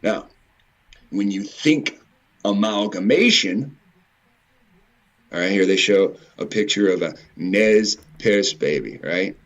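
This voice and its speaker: A man giving informal, rambling commentary in a halting way, repeating words and swearing mildly now and then. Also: sits low, lo-fi sound. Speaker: video presenter. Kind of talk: informal rambling commentary over on-screen images.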